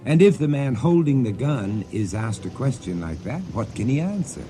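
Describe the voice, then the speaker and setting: An actor playing a dramatic narrator of an old-time radio suspense story. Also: male voice, mid-range voice, big pitch swings, normal speed, over music, natural voice. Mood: suspenseful.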